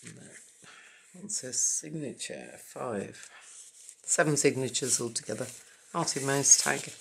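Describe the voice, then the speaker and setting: A hoarse woman hosting a papercraft channel, quietly naming each item in a casual journal flip-through with a croaky voice. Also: low voice, a little hiss or hum. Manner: quiet, halting, naming items one by one.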